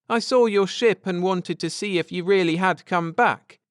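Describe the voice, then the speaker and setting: Guy putting on a little boy voice, raised pitch, apologetic n friendly, storybook style. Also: moderate pace, smooth, dry recording, clean studio sound, natural voice. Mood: apologetic, glad.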